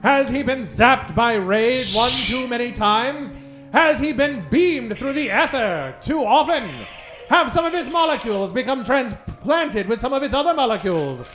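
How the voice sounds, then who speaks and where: A man's voice: extremely high pitch, lively intonation, unhurried. A man hosting a radio theatre show, delivering theatrical scripted introductions in a grandiose, mock-dramatic, declamatory old-time announcer style.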